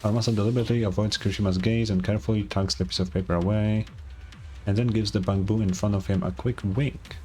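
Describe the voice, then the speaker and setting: Low-voiced man streaming a game. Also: steady tone, quick pace, darkish tone. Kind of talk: gameplay commentary with read-aloud story narration. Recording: game music behind the voice. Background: video game music.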